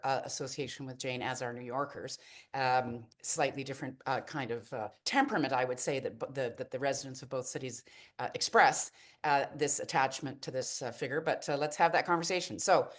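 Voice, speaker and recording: A woman hosting a panel webinar on a video call, who talks in a halting way with many "uh" fillers. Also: low voice. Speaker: webinar host.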